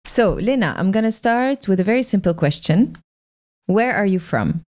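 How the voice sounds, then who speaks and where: A woman hosting a podcast, reading an introduction and asking questions in a clear, measured way. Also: mid-range voice, expressive, a little mellow. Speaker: podcast host. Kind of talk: interview and scripted introduction.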